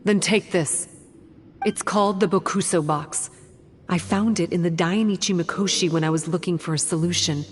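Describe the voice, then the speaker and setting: A woman's voice: lowish voice, fairly even intonation, moderate pace, a little hiss or hum, natural voice. A woman playing a composed, mysterious shrine maiden in a video game, speaking in a matter-of-fact way. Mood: calm, businesslike.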